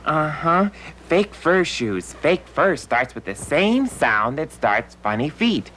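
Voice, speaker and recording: Children's TV puppet: childlike male, eager, highly animated pitch, emphatic teacherly repetition. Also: slow, a little hiss or hum, exaggerated voice. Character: eager childlike male puppet letter with big funny feet. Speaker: puppet character on educational show. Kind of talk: children's educational puppet show dialogue.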